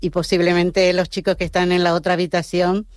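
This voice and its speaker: Woman, 50s, grandmother, low voice, calm and measured, dry sound. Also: dry recording. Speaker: radio guest.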